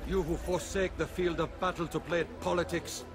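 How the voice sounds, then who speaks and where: A man's voice: a little high, flat delivery, moderate pace, music in the background, lightly acted. An actor playing a haughty, sarcastic warrior king with a grand, scornful manner in a video game. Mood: bitter sarcasm. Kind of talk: scripted video game cutscene acting.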